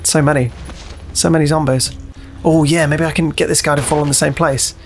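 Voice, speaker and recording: A man's voice: on the high side, steady tone, brisk, soft background music. A man giving casual, muttered, sweary commentary as he plays a game. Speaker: let's play host playing the game.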